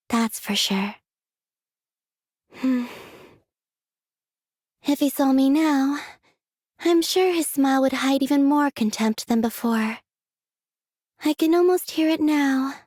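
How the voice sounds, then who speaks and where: An actress voicing a gentle, aloof young woman in a video game, with a soft breathy voice and unhurried, wistful, quietly curious delivery. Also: on the high side, no room sound, clean studio sound. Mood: dreamy musing. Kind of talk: scripted game character voice lines.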